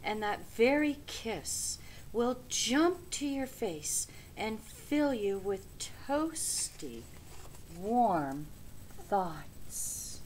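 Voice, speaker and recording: A woman voicing a gentle, reassuring mother raccoon in a children's storybook, soothing and tender. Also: mid-range voice, sing-song, extremely slow, a little hiss or hum.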